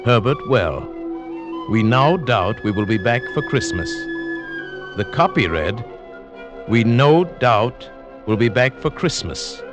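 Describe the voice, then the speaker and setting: An actor playing a scheming professor who narrates his own wife-murder, in a smooth, quietly gloating 1940s radio drama style. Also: medium pitch, big pitch swings, slow. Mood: cold narration. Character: scheming middle-aged male professor, cold wife-murderer. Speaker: narrator and lead character. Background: music.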